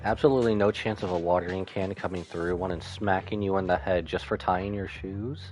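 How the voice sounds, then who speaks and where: A man's voice: sits low, steady tone, a little fast, darkish tone. A man livestreaming casually, thinking aloud in a rambling way as he chats over a game. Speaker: live streamer. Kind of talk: casual gaming stream chat and commentary. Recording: game music behind the voice. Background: video game music and dialogue.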